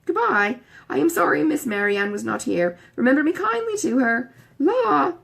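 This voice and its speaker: A woman voicing a silly, chattering young gentlewoman in an audiobook, gushing and exclamatory. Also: high-pitched, sing-song, normal speed, gravelly, voice pushed up, full character voice. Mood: hurried, chatty. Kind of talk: audiobook character dialogue.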